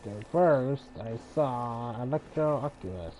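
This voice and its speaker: A man's voice: mid-range voice, expressive, a little slow, dark tone, a little hiss or hum. A man streaming a video game, giving casual playthrough commentary and thinking aloud.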